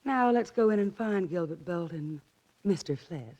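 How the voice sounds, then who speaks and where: A woman's voice: lowish voice, expressive, moderate pace, a little mellow. A woman playing a sultry femme fatale in a 1940s radio drama, poised and formal. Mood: sultry, inquisitive. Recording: dull vintage radio recording, music behind.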